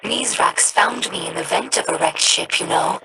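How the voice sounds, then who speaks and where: A woman voicing a young female alien scribe in a game, earnest and devout, speaking in a measured, reverent way. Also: mid-range voice, close to an everyday voice. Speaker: game character. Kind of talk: game voice lines. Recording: noisy game audio rip.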